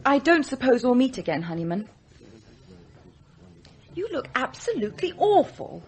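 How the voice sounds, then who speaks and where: Blunt, lively young Englishwoman. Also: high voice, moderate pace, slightly rough, full character voice. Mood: disdainful. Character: blunt, disdainful young upper-class daughter of the house. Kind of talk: radio drama acting.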